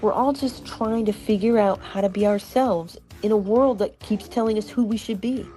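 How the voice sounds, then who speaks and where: A woman's voice: mid-range voice, moderate pace, warm tone, faint music behind, natural voice. A woman reading a sincere, thoughtful teenage character in a live script reading. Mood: earnest, reflective.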